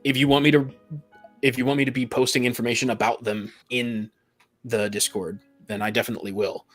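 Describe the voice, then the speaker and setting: A man's voice: medium pitch, level tone, a little fast, fairly bright tone, soft background music. A talkative man in a casual group chat, stammering and repeating the starts of his phrases. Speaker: tabletop game master, podcast guest.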